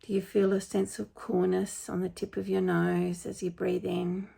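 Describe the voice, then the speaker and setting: A woman leading a guided meditation in a gentle, unhurried, informal and soothing way. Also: fairly low pitch, completely flat delivery, gravelly, breathy edge. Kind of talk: guided meditation, spoken softly to listeners.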